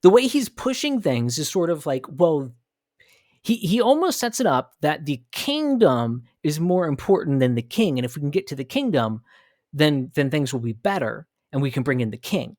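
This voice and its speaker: A man's voice: fairly high pitch, big pitch swings, moderate pace, darkish tone, dry recording, studio quality. A man hosting a commentary podcast, arguing emphatically with rhetorical questions and repeated phrases. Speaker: podcast host. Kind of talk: opinionated commentary.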